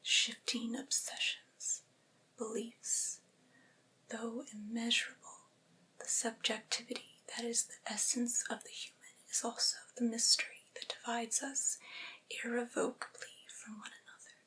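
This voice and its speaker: Whispering woman, soft ASMR reading. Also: a little high, little pitch movement, measured pace, low-quality recording. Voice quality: breathy unvoiced whisper. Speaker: ASMR performer reading aloud.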